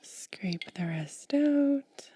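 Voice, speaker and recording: Soft whispery asmr lady, super mellow n breathy, close dry mic, casually showing stuff. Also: sits high, expressive, dry recording. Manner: gentle, unhurried, casual commentary. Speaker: ASMR video creator and host. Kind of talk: soft-spoken ASMR, hands-on demonstration.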